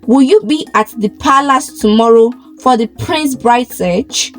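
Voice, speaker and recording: A woman voicing a kindly, elegant and commanding queen in a folktale, gentle and assured. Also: a little high, unhurried, faint music behind, close to an everyday voice.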